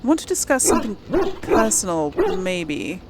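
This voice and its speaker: A woman's voice: mid-range voice, very animated, moderate pace, very noisy. A woman hosting a let's play, chatting casually to her viewers in an informal, playful way. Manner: chatty, addresses viewers, giggly asides. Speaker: gaming video host.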